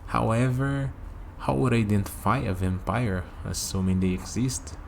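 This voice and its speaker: A man playing a curious, skeptical young human in an ASMR vampire roleplay, talking hesitantly to the listener and pausing for replies, with steady noise behind him. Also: on the low side, creaky. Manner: hesitant, conversational, leaves pauses for unheard replies.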